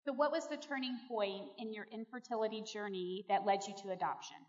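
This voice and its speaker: Woman, high-pitched, earnest and encouraging host addressing an audience, dull reverberant hall recording. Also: a little fast, a little mellow. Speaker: host introducing and interviewing a friend at a church event. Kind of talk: warm-up address and guided testimony interview to an audience. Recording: muffled, echoey large hall.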